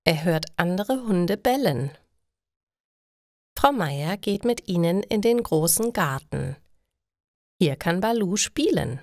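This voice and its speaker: A woman's voice: fairly low pitch, animated, slow, long pauses, no room sound. A woman reading scripted stories for language learners as a narrator. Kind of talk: slow, clearly read scripted story narration.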